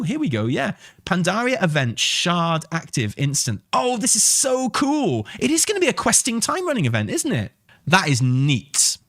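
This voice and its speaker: A man with a slightly high, very lively voice reacts off the cuff as a gaming news host. He thinks aloud in an excitable, sweary way, his pitch rising and dropping widely. The recording is dry, without room sound. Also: no room sound.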